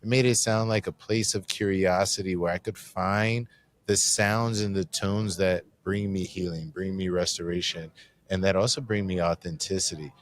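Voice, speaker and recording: Deep-voiced man musing casually. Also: steady tone, moderate pace. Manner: reflective, rambling, casual slang. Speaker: podcast host. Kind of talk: conversational interview. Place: live conference recording.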